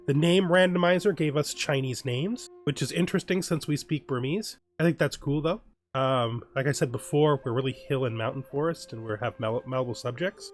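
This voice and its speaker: A man giving relaxed let's play commentary on a strategy game, thinking aloud and often restarting his sentences. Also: a little high. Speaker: gaming YouTuber.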